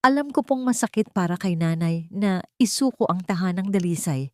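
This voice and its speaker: A woman's voice: lowish voice, lively intonation, normal speed, no room sound, clean studio sound, lightly acted. Woman acting a pleading, tearful scheming daughter-in-law. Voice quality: broken, sob-filled voice. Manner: pleading, melodramatic.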